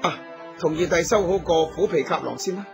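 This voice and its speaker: An actor playing a resolute, caring heroic husband in a radio drama. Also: male voice, on the high side, a little fast, vocal fry, faint music behind. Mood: tender, caring. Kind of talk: Cantonese radio drama acting.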